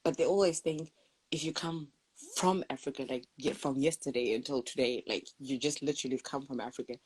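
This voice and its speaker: Low husky-voiced woman from Zimbabwe. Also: brisk, poor audio. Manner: animated storyteller, acts out quoted voices. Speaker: guest sharing experiences. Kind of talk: casual conversation.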